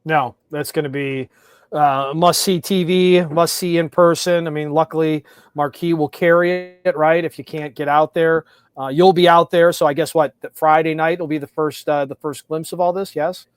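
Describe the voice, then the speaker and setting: Guy with a high voice hosting sports podcast, animated n chatty, repeats himself, dry close sound. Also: normal speed, smooth, dry recording.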